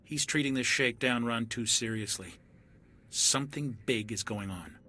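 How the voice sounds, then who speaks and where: A man's voice: mid-range voice, moderate pace, pushed and bright. An actor playing a wary young male soldier in a video game cutscene, speaking in an earnest, suspicious, level-headed way.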